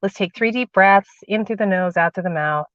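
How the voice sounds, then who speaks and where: A woman's voice: mid-range voice, normal speed, a bit bright, no echo. Chatty woman livestreaming from a car. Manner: chatty, calls viewers darling and sweetheart. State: recovering from a cold, cough drop in mouth. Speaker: spiritual channeler and host. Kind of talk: casual livestream chat and guided meditation. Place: inside a parked car. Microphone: phone. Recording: dull lo-fi phone audio.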